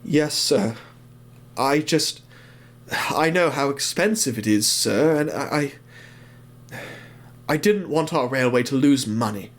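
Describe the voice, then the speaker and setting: A man's voice: medium pitch, lively intonation, slow pace, pauses often. A man voicing an earnest, contrite steam engine in an audio drama, pleading and hesitant. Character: earnest, contrite little steam engine answering his boss.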